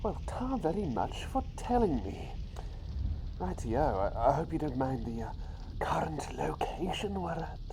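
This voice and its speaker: Man voicing a slow, lilting, whimsical bird doctor. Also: fairly high pitch, sing-song. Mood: cheerful, chatty. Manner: whimsical, unhurried, quaintly British phrasing. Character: eccentric chatty doctor turned into a talking bird, playful quizmaster. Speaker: riddle-teller. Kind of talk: ASMR roleplay, soft-spoken with whispers. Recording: clear background noise with outdoor ambience.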